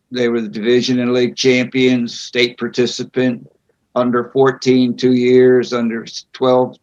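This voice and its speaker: An older man answering interview questions at a public meeting over a video call, speaking slowly and haltingly with frequent pauses. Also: mid-range voice. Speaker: board candidate being interviewed. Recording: dull lo-fi video call audio.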